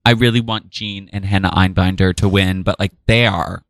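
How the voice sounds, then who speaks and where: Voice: sits low, steady tone, moderate pace, no echo, clean studio sound. A podcast co-host in casual chat, bantering playfully with lots of fillers.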